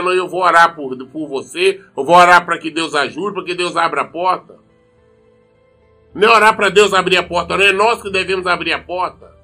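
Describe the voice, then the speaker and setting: A Brazilian man delivers a sermon in a distinctly high-pitched voice. He speaks earnestly and admonishes his listeners, repeating phrases for emphasis. Soft music plays faintly behind him. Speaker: preacher. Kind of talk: sermon with scripture reading.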